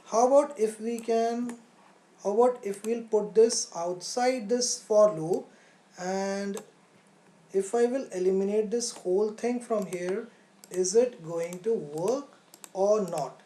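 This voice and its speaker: A woman with a mellow, mid-pitched voice gives a live online tutorial in a roomy, echoey space. She explains step by step as a teacher does, often pausing to think aloud and restating phrases for emphasis. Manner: poses questions and answers them. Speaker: coding teacher.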